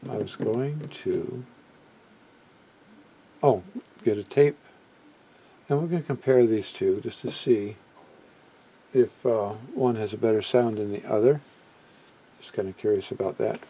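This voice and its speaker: A man presenting a hobby video in a casual, unscripted way, halting as he muses aloud and often trailing off mid-thought. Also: lowish voice, dark tone, slightly rough, poor audio. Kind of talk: casual unscripted product walkthrough.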